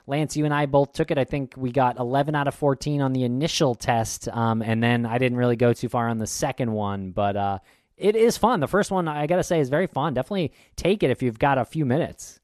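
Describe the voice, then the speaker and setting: A man's voice: mid-range voice, a little fast, darkish tone, dry recording, studio quality. A man co-hosting a podcast, talking in an easygoing way with frequent fillers. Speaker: podcast co-host. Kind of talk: casual podcast chat.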